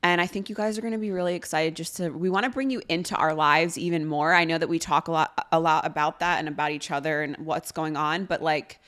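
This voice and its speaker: A raspy, hoarse woman with a somewhat low voice chats loosely as a podcast co-host. Her talk wanders and is full of fillers. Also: fairly even intonation, fast talker, hardly any pauses, fairly bright tone. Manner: chatty, loose, peppered with "like". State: hoarse from a lingering illness. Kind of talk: casual chat.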